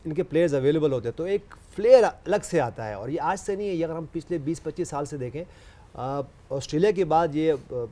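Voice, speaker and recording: A man's voice: a little high, warm tone. A man with a Pakistani accent, a native Urdu speaker, giving informal analysis as a sports pundit. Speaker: cricket panel pundit.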